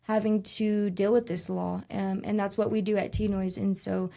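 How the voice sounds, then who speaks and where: A woman's voice: medium pitch, level tone, vocal fry, dark tone. Earnest young woman talking casually. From Texas. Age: young adult. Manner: earnest, quick, many fillers and restarts. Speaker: guest, youth advocate. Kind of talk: casual interview conversation.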